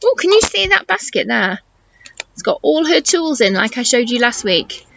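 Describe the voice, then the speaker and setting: A woman teacher reading a story to young children, encouraging and expressive, asking questions and explaining as she goes. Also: on the high side, normal speed, vocal fry, muffled recording, a little hiss or hum. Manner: encouraging, expressive, exaggerated intonation for small children.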